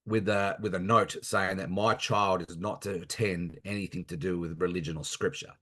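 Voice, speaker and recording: An Australian man in his thirties with a very deep, low voice. He talks earnestly and haltingly, pausing often on fillers as he answers as a guest. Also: steady tone, sharp tone. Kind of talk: casual interview chat.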